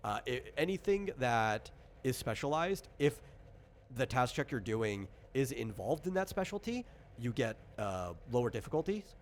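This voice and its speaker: A man's voice: mid-range voice, sing-song, normal speed. A man casually explaining tabletop game rules as the game master, rambling and interrupting himself as he goes. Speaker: game designer running character creation. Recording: clear background noise.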